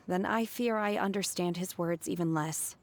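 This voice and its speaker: A woman playing a solemn, reserved young woman in a fantasy game, speaking earnestly and deliberately. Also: fairly low pitch, lightly acted. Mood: pensive, melancholy. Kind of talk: fantasy game cutscene acting.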